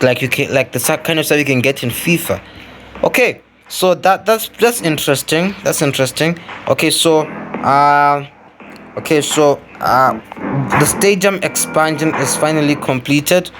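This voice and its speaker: Higher-voiced man commentating over noisy audio. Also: fairly bright tone. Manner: repeats phrases and talks to the viewers. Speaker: gaming video host. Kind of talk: casual gameplay commentary, thinking aloud. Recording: clearly noisy home recording.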